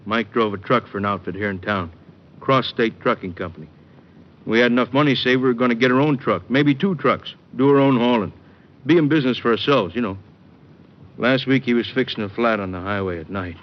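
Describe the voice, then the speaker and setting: An American actor playing a huge, earnest, simple-hearted wrestler in an old-time radio drama. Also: male voice, mid-range voice, natural voice. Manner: plain, slow-witted sincerity. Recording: muffled, noisy vintage radio recording.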